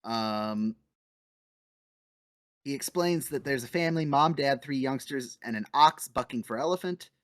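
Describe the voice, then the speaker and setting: A man hosting a podcast in a relaxed, conversational way, often trailing off quietly. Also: medium pitch, measured pace, long pauses, no room sound. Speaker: podcast host. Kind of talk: casual podcast chat.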